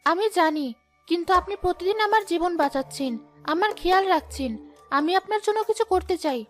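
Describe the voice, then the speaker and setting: Young cartoon princess, played by a woman: very high voice, gentle, earnest, polite, grateful. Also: a little fast, soft background music. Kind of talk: animated fairy-tale dialogue.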